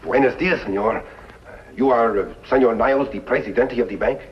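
A man's voice: medium pitch, normal speed, close to an everyday voice. An actor playing a humble, hesitant Mexican man with a Mexican accent in an old television western. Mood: humbly polite. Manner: polite, hesitant, obsequious. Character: Mexican peasant man in disguise, humble, deferential, halting. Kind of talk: 1950s television western acting. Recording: muffled, noisy old television soundtrack.

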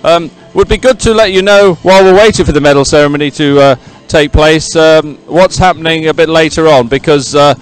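Clear-voiced, chatty male event presenter. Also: a little high, fairly quick, hardly any pauses, faint music behind, low-quality recording. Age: middle-aged. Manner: chatty, rambling, hesitant with repeats. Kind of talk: live sports event presenting, ad-libbed. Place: outdoors by a regatta podium. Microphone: handheld microphone.

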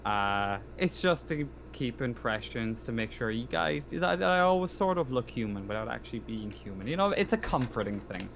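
A man's voice: medium pitch, very animated, normal speed, vocal fry, hardly any pauses, a bit bright. A man talking casually as a player in an online tabletop roleplaying game. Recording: noisy home voice-chat recording.

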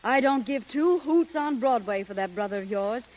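A woman's voice: on the high side, expressive, normal speed, darkish tone, lightly acted, low-quality recording. An American actress playing a brassy, loyal young showgirl, blunt and wisecracking, in 1930s radio acting style. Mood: indignant. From United States. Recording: 1930s radio recording, faint music.

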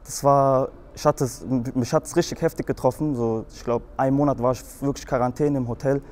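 A young man from Frankfurt with a light Hessian accent, recounting his past. He rambles, and the telling is full of hesitations and restarts. His voice sits in an ordinary middle pitch. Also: fairly even intonation, brisk, a little mellow. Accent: Hessian German. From Germany, Frankfurt. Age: young adult. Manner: rambling, many fillers and restarts. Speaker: guest in a show video. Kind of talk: telling his life story.

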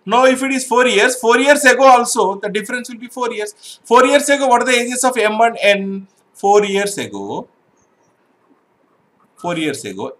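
Bright-voiced man teaching math step by step. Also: sits high. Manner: explains step by step with frequent "right?" checks. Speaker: exam prep math teacher. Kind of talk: online exam-prep lesson.

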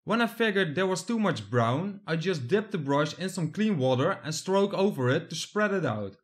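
A young man from the Netherlands, narrating his hobby build step by step in a plain voice-over. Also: fairly high pitch.

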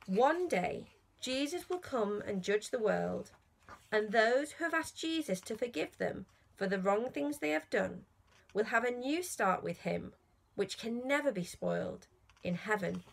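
Female children's storyteller, thirties, higher-pitched and very expressive, sing-song rhyming delivery. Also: creaky, poor audio. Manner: slow, sing-song rhyming delivery with emphasis on key words. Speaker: children's author reading aloud.

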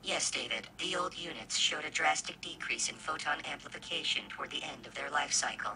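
An actor voicing a cold, officious artificial intelligence overseer in a video game, formal and deadpan. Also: medium pitch, brisk, a little hiss or hum. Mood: clinical.

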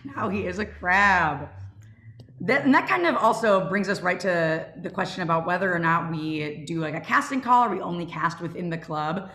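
Casual young female host, lower register, echoey room on a video call. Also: animated, moderate pace, bright, forceful tone. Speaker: host of club Q&A, show creative team. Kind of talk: casual panel Q&A chat. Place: remote video call, roomy indoor space. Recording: roomy echo over video call.

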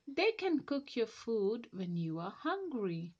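Woman putting on a showy storybook salesman, animated and proclaiming. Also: fairly high pitch, slow, muffled recording, heavily acted. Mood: showy, eager. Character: loud showy male travelling salesman of magic sticks.

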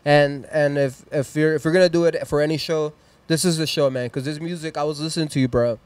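Upbeat Mexican-accented studio host. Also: male voice, fairly high pitch, fairly even intonation, fast talker, a little mellow, smooth, no room sound. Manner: rambling, upbeat, plugs sponsors and socials. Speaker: host of a live music stream. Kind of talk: casual chat and shout-outs. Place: small decorated studio room, indoors. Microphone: handheld mic.

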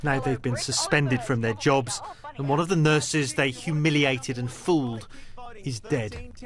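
A man's voice: mid-range voice, measured pace, a crowd in the background. A man with a British accent narrating a scripted television news report as a correspondent.

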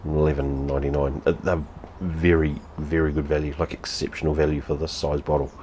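A man's voice: rumbling low, little pitch movement, brisk, a little mellow, a little hiss or hum. A New Zealand man in his fifties reviewing beer at home on camera, blunt and sweary, repeating himself as he talks. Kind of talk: casual vlog review. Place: home room with TV behind.

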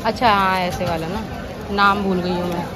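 A woman's voice: on the low side, fairly even intonation, normal speed, a loud crowd. A young woman chatting casually as a shopping vlogger, mixing in English words and asking questions. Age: young adult. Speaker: vlogger, host. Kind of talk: casual shopping vlog chat. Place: outdoors in a crowded market street. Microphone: handheld phone camera. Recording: loud busy street market, traffic noise.

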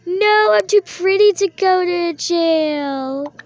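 A woman's voice: extremely high pitch, takes it slowly, a little mellow, a little hiss or hum, full character voice. A woman voicing a vain, whiny evil neighbor who pleads melodramatically in an amateur toy roleplay. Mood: indignant, vain.

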